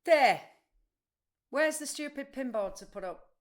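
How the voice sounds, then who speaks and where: A woman's voice: high-pitched, expressive, unhurried, very noisy. An actress playing a blunt, restless, literal-minded woman in audio play dialogue. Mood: exasperated.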